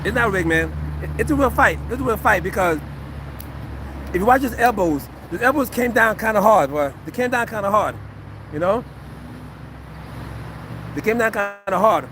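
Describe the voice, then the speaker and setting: Earnest high-voiced man in his fifties. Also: lively intonation, normal speed, pauses often, noisy background. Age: 50s. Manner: repetitive, earnest, talks to chat. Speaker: retired fighter and acupuncture physician. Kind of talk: casual livestream fight breakdown.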